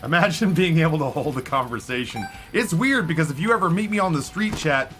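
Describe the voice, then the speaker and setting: A man's voice: on the high side, animated, brisk. A middle-aged man streaming a video game, rambling on in casual, self-deprecating chat and never letting the talk stop. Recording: music playing clearly behind.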